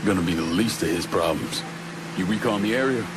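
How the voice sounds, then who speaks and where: An actor playing a terse, stoic veteran turned vigilante, guarded and laconic, in a video game cutscene. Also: male voice, sits low, fairly quick, natural voice. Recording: loud vehicle and outdoor noise.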